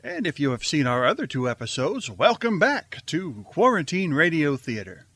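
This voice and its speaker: A man's voice: fairly high pitch, sing-song, moderate pace, a bit bright, dry recording, heavily acted. A man playing a bumbling, earnest, zombie-loving host of a radio theater show, delivering dramatic introductions. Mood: theatrical welcome.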